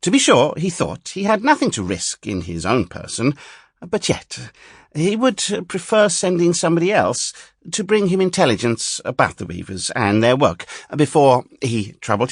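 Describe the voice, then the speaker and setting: A male audiobook narrator reads a fairy tale with theatrical expression. His medium-pitched voice is husky and swoops widely, in a dry, close-sounding recording. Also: no room sound.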